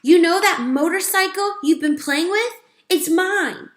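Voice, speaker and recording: Woman voicing a desperate little mouse, high-pitched. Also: a little slow. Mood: desperate, loud. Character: small desperate male mouse, nervous and pleading. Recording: roomy, echoing sound.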